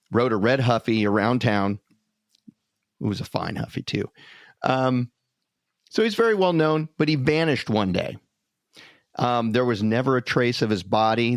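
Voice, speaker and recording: A man's voice: mid-range voice, no room sound, studio quality. A man co-hosting a podcast in a casual, conversational storytelling style. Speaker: podcast co-host.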